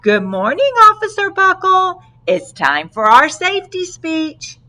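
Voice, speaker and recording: A woman's voice: very high-pitched, sing-song, unhurried, bright, forceful tone, pitched above the performer's own voice, heavily acted. A woman voicing a polite, coaxing female school principal in a sing-song manner for a storybook. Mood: cheerful.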